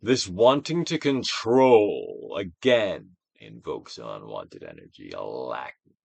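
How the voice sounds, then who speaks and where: A man giving a theatrical, Shakespearean-style dramatic reading of a self-help book, with deliberate pauses. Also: sits low, very animated, vocal fry, a little mellow, breathy edge, no echo. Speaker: audiobook reader, actor.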